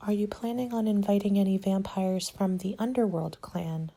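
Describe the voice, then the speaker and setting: Soft, mellow woman in ASMR roleplay. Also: medium pitch, steady tone, vocal fry. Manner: polite, measured, businesslike consultant patter. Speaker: party planning agent in an ASMR roleplay. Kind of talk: soft-spoken ASMR roleplay, partly whispered.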